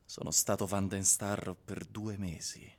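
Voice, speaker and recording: An actor playing a haunted man recounting his ordeal in a measured, theatrical literary radio reading. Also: low-pitched, flat delivery, creaky, full character voice. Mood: insistent.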